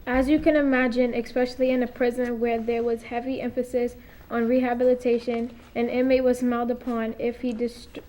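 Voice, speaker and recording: A woman's voice: a little high, level tone, a little fast, mellow, poor audio. A young teenage girl, a middle school student, giving a dramatic reading aloud. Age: young teen, middle school student. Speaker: student reader.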